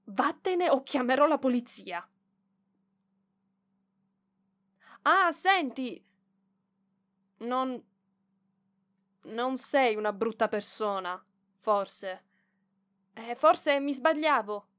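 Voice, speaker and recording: A woman's voice: sits high, expressive, slow pace, pauses often, fairly bright tone, exaggerated voice. A woman voicing a proud young librarian in an ASMR roleplay, defensive and bashful, with hesitant stammering. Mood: flustered, indignant. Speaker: voice actress.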